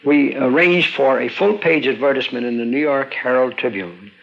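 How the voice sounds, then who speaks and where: An older man with a creaky voice, a radio engineer looking back on his working life. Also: mid-range voice, normal speed. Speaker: pioneer radio engineer recalling his career. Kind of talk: reminiscing. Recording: dull, noisy archival radio interview.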